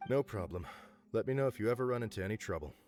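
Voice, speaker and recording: A man's voice: lowish voice, lively intonation, very fast, darkish tone, faint music behind, lightly acted. A man playing a businesslike, helpful clerk in a video game, with a plain manner. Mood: businesslike, friendly.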